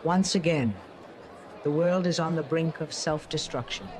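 A man's voice: a little high, unhurried, some chatter behind, lightly acted. A man voicing a determined, defiant female war correspondent in earnest, solemn first-person narration. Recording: voice-over under loud music.

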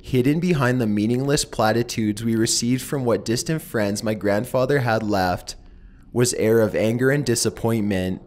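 A man narrating horror stories in a measured, somber, deliberate manner as the host of his channel. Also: mid-range voice, darkish tone. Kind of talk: scripted horror story narration.

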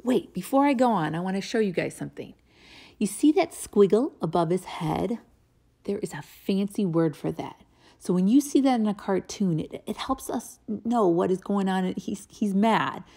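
A woman's voice: medium pitch, expressive, normal speed, vocal fry, dark tone. An American woman teaching young children through a read-aloud and drawing lesson, with encouraging, chatty asides. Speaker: teacher reading to a kindergarten class.

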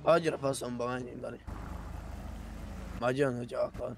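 A man's voice: medium pitch, steady tone. A man playing a roleplay game with friends, talking casually and grumbling with lots of swearing. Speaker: fellow roleplay player. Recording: clear car engine noise behind. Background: game car engines.

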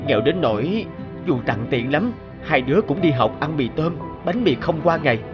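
A man's voice: a little high, lively intonation, normal speed, muffled recording, close to an everyday voice. A man voicing a young man in a cartoon, heartfelt and wounded as he talks about his past. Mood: wistful. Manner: heartfelt, emotional. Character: young man, wounded, brooding successful ex-boyfriend. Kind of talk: cartoon voice acting. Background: background music.

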